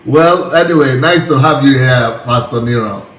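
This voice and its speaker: A man's voice: medium pitch, normal speed, a bit bright, slight background noise. A man hosting a casual interview, curious and asking many questions, laughing and reading out viewer comments. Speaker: host. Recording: echoey and distant from mic.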